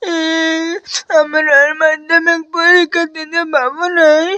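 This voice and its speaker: Cartoon schoolgirl, played by a woman: anxious complainer, extremely high, sharp voice, flat intonation, Purulia Bengali accent. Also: unhurried, no room sound, heavily acted. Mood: tearful, whining. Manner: fretful, complaining. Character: anxious, whiny teenage village schoolgirl. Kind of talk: comic cartoon dialogue.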